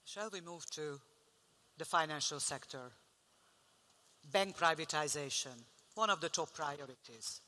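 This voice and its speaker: A woman's voice: deep voice, measured pace, pauses often, pushed and bright. A woman from Hungary moderating a panel, friendly and conversational. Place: large conference hall. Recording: reverberant conference hall.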